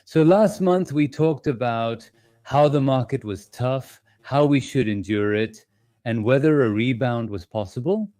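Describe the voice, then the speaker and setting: Man, investing stream host, mellow voice, dry close sound, casual, gentle, reassuring. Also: medium pitch, unhurried, no room sound. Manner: gentle, reassuring, slightly apologetic; thinks aloud with small fillers. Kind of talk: casual live-stream talk to members.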